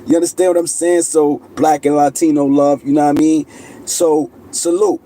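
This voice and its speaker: A middle-aged man holds forth in a loose, insistent monologue, peppered with street slang and "you heard?". His voice sits a touch high but sounds mellow and relaxed. Faint background noise and passing vehicles can be heard. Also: moderate pace, rough recording. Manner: street slang, insistent, repeats "you know what I mean". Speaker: channel host. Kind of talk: casual monologue to camera.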